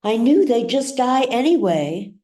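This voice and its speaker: A woman voicing a mother in a story reading, by turns brisk and syrupy with her small boy. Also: on the high side, big pitch swings, warm tone, voice pushed up. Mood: dismissive.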